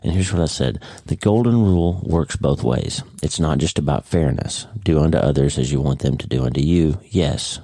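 A man's voice: a bass voice, normal speed, few pauses, no room sound, studio quality. A man hosting his own podcast in a casual spoken monologue, a little congested, chatty and easygoing, recorded at home early in the morning. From United States. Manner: warm, chatty, leaves in his own slips. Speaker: podcast host.

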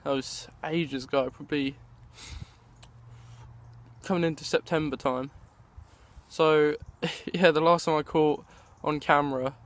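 A young man, talking casually to viewers while fishing on a riverbank, filmed on a handheld camera at arm's length. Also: on the high side. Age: young adult. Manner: chatty, rambling, addresses viewers as guys. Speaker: fishing vlogger. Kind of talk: casual outdoor vlog. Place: riverbank outdoors in winter.

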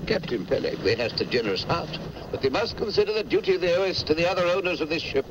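A man's voice: on the high side, lively intonation, fast, muffled recording, natural voice. An actor playing a miserly old Quaker shipowner, sanctimonious and archaic in his speech, in a vintage drama. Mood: sanctimonious, stingy. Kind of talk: vintage film drama acting. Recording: very noisy vintage film soundtrack.

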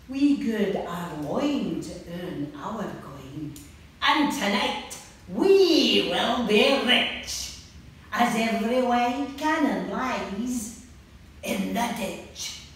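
A woman's voice: a little high, fairly bright tone, pitched above the performer's own voice, exaggerated voice. A huge red-haired raider bellows fierce battle orders and chants in a storybook, as a woman acts him out. His voice sounds distant and rings around an echoey room. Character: big wild red-haired male raider, bloodthirsty.

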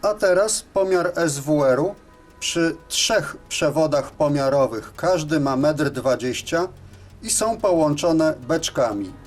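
A man's voice: a little high, expressive, takes it slowly, faint music behind. A man giving scripted, methodical and matter-of-fact instructional narration as the presenter of a technical tutorial.

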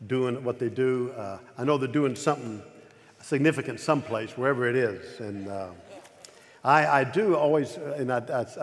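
A middle-aged man preaching a sermon at a pulpit in a large church auditorium, talking with a stutter, frequent repeats and restarts. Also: mid-range voice. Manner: gestures broadly at a pulpit. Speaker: pastor. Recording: long reverb of a large hall.

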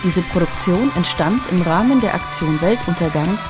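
A woman's voice: fairly low pitch, animated, normal speed. A woman reading poetry and announcements as scripted narration. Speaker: podcast announcer and poem reader. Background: music.

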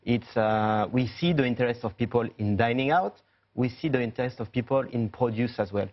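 A man's voice: mid-range voice, a little fast, lo-fi sound. Hesitant man, chef as news guest. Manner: hesitant, many fillers and restarts. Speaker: chef and restaurant owner. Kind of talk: studio news interview guest. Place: TV news studio.

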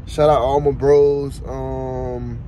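A young man gives a laid-back, repetitive pep talk while riding in a car. He talks into a phone held low at arm's length. Clear road and engine noise runs under his mid-pitched voice. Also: flat delivery, slow, dark tone, poor audio. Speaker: vlogger. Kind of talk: casual motivational vlog. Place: inside a car.

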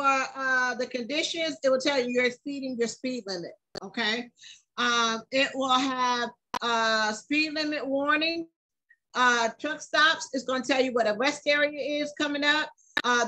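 An American woman with a high, bright voice hosts a casual product walkthrough. She talks emphatically and keeps checking that listeners follow along, in the manner of someone explaining a tool to fellow workers. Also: poor audio. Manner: emphatic, repeatedly checks in with "okay?". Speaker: channel host and truck driver presenting a product review. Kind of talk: casual screen-recorded app walkthrough.

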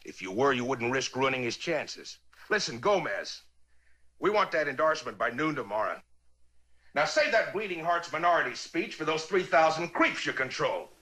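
An actor playing a burly, domineering middle-aged campaign manager in a crime drama, brusque, bullying and sarcastic. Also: male voice, on the high side, very animated, moderate pace, pushed and bright. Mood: bullying, impatient.

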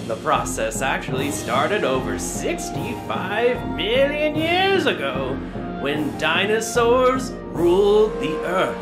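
A man voices a genial, grandfatherly park owner in a fan audio drama, presenting like a showman with a lively, swooping delivery. He takes his time over each phrase while music plays underneath. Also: high-pitched, takes it slowly, heavily acted. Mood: narrating.

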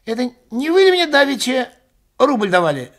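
A man voicing an indignant, pushy old woman in a querulous, shrill manner for a storybook reading. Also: big pitch swings, moderate pace, fairly bright tone, exaggerated voice. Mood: wheedling.

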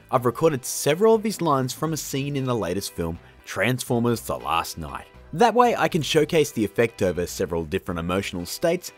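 Husky man, very animated tutorial host. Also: medium pitch. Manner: jokey, informal, emphatic. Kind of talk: casual software tutorial narration.

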